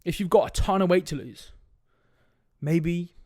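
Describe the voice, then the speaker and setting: A man's voice: sits high, a little slow, darkish tone, dry recording, studio quality. A young man with a sniffly cold, hosting a casual solo fitness podcast in a direct, laid-back way with frequent "right?" asides. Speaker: fitness coach podcast host.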